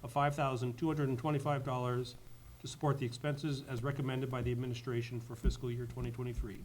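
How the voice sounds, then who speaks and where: A man's voice: mid-range voice, little pitch movement, fast. Male committee member reading formal motions. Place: school meeting room. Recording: noisy public meeting room recording.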